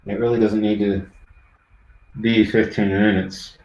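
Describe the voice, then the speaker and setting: A man's voice: on the low side, flat delivery, measured pace, darkish tone, reverberant, dull audio. A middle-aged man live streaming, chatting casually and rambling to his viewers.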